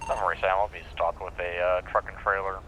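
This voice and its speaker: Man playing brisk, low-voiced police officer. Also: level tone, a bit bright, a little hiss or hum, low-quality recording. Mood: businesslike. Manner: clipped, procedural. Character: brisk, businesslike male police officer on radio. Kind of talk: police roleplay radio calls.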